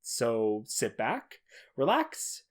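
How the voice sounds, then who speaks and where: A man's voice: medium pitch, huge pitch swings, drawn-out words. A man hosting a podcast alone, talking casually in an informal, enthusiastic manner. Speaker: podcast host. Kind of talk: casual solo podcast talk.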